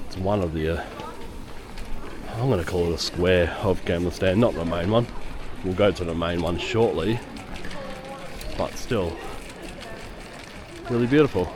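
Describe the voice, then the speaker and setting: A man with a hoarse voice from a lingering cough, giving chatty walking-tour commentary on busy city streets. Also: low-pitched, a little slow, long pauses. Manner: chatty, rambling, with fillers. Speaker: travel vlogger. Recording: outdoor street noise. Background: city street noise and traffic.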